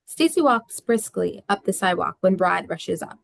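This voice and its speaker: A woman's voice: fairly low pitch, lively intonation, normal speed, creaky, no room sound. A woman reading scripted stage directions as a narrator.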